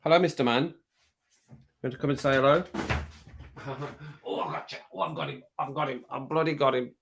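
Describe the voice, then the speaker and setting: A man hosting a casual livestream, his voice a bit croaky from singing, chatting to viewers at home and laughing often. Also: mid-range voice, animated, a little fast, fairly bright tone, a little hiss or hum. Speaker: livestream host reading chat. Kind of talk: casual chat to a live audience. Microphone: home streaming mic with compressor.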